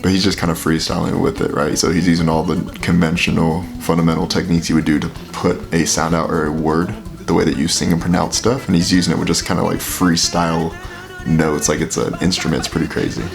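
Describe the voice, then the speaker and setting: A man with a very deep voice giving casual, filler-heavy commentary while reacting to a song. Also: level tone, fast talker, vocal fry. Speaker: reaction video host. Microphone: headphones with mic. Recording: music playing under the voice. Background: music playing.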